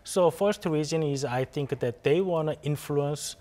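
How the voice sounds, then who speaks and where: Halting man giving news analysis. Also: fairly high pitch, mellow. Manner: halting, repeats and restarts phrases. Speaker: studio guest analyst. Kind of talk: live news interview analysis.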